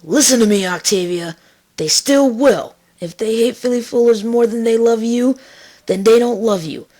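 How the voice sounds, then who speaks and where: A woman's voice: a little high, moderate pace, mellow, close to an everyday voice. A woman voicing a young female pony, blunt and protective, speaking earnestly and emphatically in a fan fiction drama. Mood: firm, reassuring.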